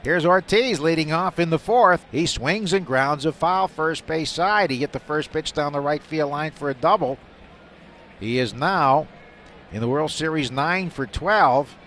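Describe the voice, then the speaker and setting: A man calling baseball live on radio, describing each play in detail and getting excited on the big ones. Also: a little high, measured pace. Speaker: play-by-play announcer. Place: stadium broadcast booth. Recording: faint stadium noise behind a broadcast.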